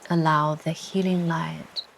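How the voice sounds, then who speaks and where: A woman narrating a guided meditation in a soothing, gentle way, with long pauses between short instructions. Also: low-pitched, flat delivery, gravelly, slight background noise, rough recording. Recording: ocean surf sounds behind the voice. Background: ocean waves ambience.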